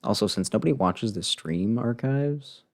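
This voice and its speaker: A man's voice: on the low side, animated, moderate pace. A man livestreaming a game, giving casual commentary full of wry, joking asides.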